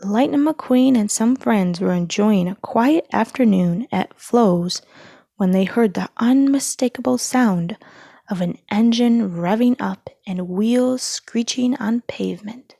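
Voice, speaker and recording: Slow, mellow woman reading a storybook. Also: on the high side, vocal fry, dry recording, clean studio sound. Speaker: storyteller reading children's books aloud. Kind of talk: scripted children's storybook narration.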